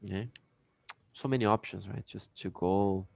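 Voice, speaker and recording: A man's voice: lowish voice, steady tone, measured pace, gravelly, dry recording. A Brazilian man with a Brazilian Portuguese accent, teaching an English class casually over a video call and chatting with many fillers.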